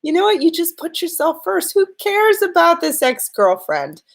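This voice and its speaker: A woman's voice: very high voice, sing-song, moderate pace, gravelly. A woman with a slight cold giving earnest, direct relationship advice to her viewers in a live-streamed talk. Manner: earnest, direct advice spoken straight to the listener. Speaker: relationship and law-of-attraction coach.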